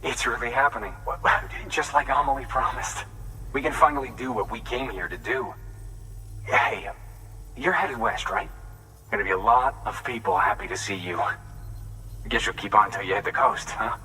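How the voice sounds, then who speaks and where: Actor as excitable, weary outpost man, bright voice. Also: mid-range voice, normal speed, recorded from a distance, slight background noise, lightly acted, poor audio. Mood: wistful, friendly. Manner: emotional, rambling, colloquial. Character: weary, excitable male way station keeper, profane and hopeful. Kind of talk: dramatic video game cutscene acting.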